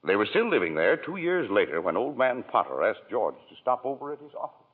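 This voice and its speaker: An actor playing a calm, fatherly senior angel who tells the story in 1940s radio acting, husky and unhurried. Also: medium pitch. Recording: tinny old radio broadcast.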